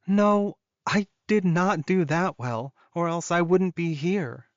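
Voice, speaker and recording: A man's voice: high voice, takes it slowly, no room sound, highs cut off, voice pushed up. A man voicing an injured young female wolf-shifter heroine in an audiobook, earnest, curious and a little tentative. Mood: modest.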